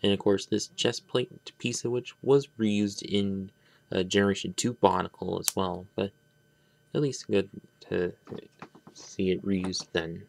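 A man with a low voice full of vocal fry talks through an unscripted hands-on toy review. His delivery is halting and rambling, with phrases that often trail off before they are finished. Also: steady tone, darkish tone, slight background noise. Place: indoors at a tabletop.

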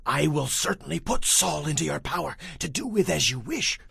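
Conspiratorial soldier, extremely husky, gravelly hiss, audio drama. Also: male voice, on the high side, expressive, normal speed, sharp tone, dry recording, studio quality, heavily acted. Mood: urgent, conspiratorial. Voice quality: husky, whispery. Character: urging, conspiratorial male soldier. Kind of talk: dramatized audio Bible acting.

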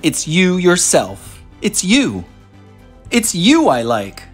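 An American man in his thirties hosting a children's read-aloud show, speaking to young viewers in clear, simple phrases as he presents and reads from a script. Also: high-pitched, wildly swooping pitch, slow, roomy sound, faint music behind. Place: small furnished room indoors.

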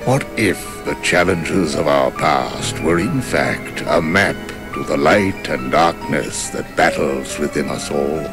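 An actor playing a stern, solemn old wizard master in a video game, speaking gravely and formally. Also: male voice, deep voice. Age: older. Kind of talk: video game cutscene acting, expository.

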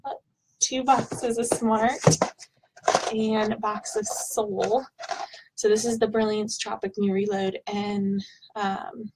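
A woman in her thirties, slightly hoarse from being sick, talks in a loose, rambling way with lots of ums. She sits at a desk in a small home office and speaks into a webcam, and a faint hiss runs under the recording. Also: medium pitch. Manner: chatty, rambling, with frequent ums. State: hoarse from illness. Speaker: product vlogger. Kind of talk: casual product unboxing chat.

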